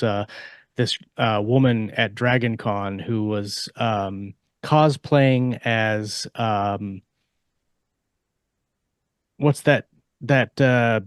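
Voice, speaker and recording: A man co-hosting a podcast in casual chat, telling stories in a joking way with hesitant pauses. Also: lowish voice, no room sound, clean studio sound. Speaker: podcast co-host and author.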